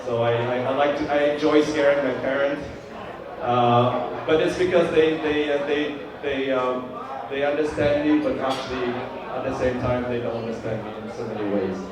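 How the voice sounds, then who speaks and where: A Vietnamese-born man in his fifties, whose first language is Vietnamese, gives a halting, filler-heavy birthday speech to guests at a party. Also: medium pitch, fairly even intonation. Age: 50s. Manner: halting, many fillers and restarts. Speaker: birthday host giving a speech. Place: large room at a party. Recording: echoey party room, mic far off. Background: crowd of guests.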